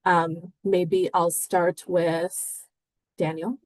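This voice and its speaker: A woman's voice: on the low side, flat delivery, very slow, darkish tone, no echo. A woman from Bangladesh moderating a panel discussion, hesitant and full of fillers.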